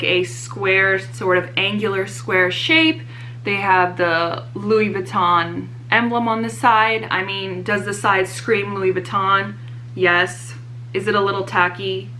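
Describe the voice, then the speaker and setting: Bright-voiced young woman, slightly hoarse. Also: mid-range voice, a little hiss or hum. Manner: chatty, self-mocking, many fillers. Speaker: fashion vlogger. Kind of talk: casual haul chat to camera.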